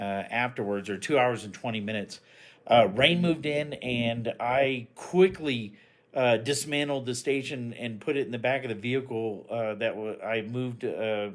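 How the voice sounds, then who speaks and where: A man giving a casual, unscripted, matter-of-fact explanation as a hobby radio vlogger, speaking haltingly. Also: medium pitch, slight background noise.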